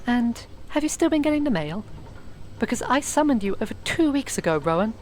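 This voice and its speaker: A woman playing a formal, condescending mother and high-status seer in a fantasy audio drama. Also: sing-song, brisk, darkish tone. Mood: haughty, chiding.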